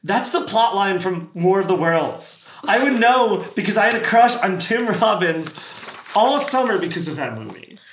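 A woman's voice: medium pitch, animated, normal speed, close to an everyday voice. A woman playing an easily shocked, sympathetic best friend in a casual comedy sketch read. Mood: shocked, exasperated.

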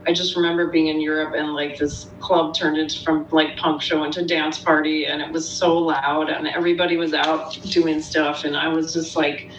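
A woman's voice: moderate pace, few pauses. A woman, the front woman of a band, speaking candidly in a casual interview chat. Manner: relaxed, candid storytelling. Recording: roomy, distant remote mic with faint rumble.

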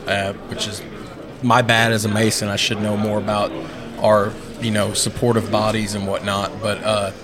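A man in his thirties co-hosting a podcast, chatting in a friendly, rambling way and praising his guests at a busy convention hall. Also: fairly low pitch. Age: 30s. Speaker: podcast co-host. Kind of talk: casual interview chat. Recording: crowded event hall. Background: crowd chatter.

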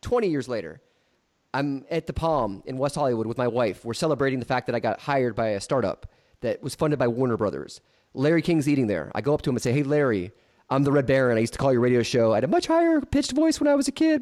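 A man's voice: medium pitch, expressive, fast talker, darkish tone. A man in his thirties hosting a podcast, teasing his guest and telling stories in a joking way. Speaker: podcast host. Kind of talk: casual podcast chat.

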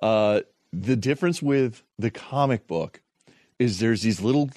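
A man with a low voice chats casually as a podcast co-host, rambling and poking fun at himself. He stops and restarts his sentences often. The sound is dry and close. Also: a little mellow, no echo. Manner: rambling, self-deprecating, frequent restarts. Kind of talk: casual chat.

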